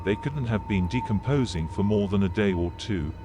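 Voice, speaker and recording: Low-voiced man narrating a grim documentary. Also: lively intonation, background noise. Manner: measured, formal, matter-of-fact. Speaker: narrator of an analog horror video. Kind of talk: serious scripted documentary-style narration. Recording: played video with background music. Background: music.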